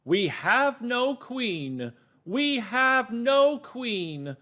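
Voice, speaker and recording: A man voicing excitable townspeople in children's reader's theater, chanting their lines in a drawn-out, theatrical way. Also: extremely high pitch, pitched above the performer's own voice, heavily acted. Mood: weeping, dismayed. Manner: wailing, drawn-out choral chanting. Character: crowd of distraught, excitable townspeople without a queen.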